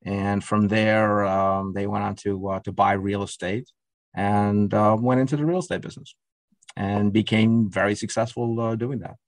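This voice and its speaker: A man's voice: fairly low pitch, fairly even intonation, moderate pace, no room sound. A middle-aged man, a guest in a conversational interview, talking hesitantly with many fillers and restarts. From Russia. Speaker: podcast guest.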